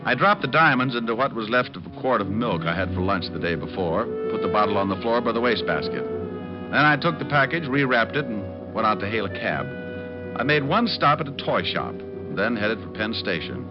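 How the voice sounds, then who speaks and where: An actor playing a cocky, wisecracking private detective, breezy and sardonic, in an old radio drama. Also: male voice, on the low side, expressive, brisk, close to an everyday voice. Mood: wry narration. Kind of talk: 1940s radio detective acting and narration. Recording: muffled old radio recording with music.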